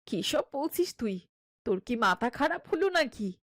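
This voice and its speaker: A woman playing a husky, fretful mother. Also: fairly high pitch, big pitch swings, normal speed, fairly bright tone, no echo, studio quality. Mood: fretting. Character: worried middle-aged mother, landlord's wife. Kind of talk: Bengali cartoon story acting.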